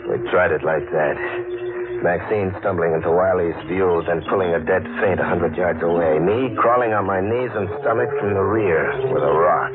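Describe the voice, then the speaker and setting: A man's voice: low-pitched, lightly acted. An actor playing a wounded, fatalistic fugitive killer narrating his crime in a hardboiled 1950s radio thriller style. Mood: grim. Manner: hardboiled, clipped storytelling. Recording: muffled old radio broadcast, loud organ music.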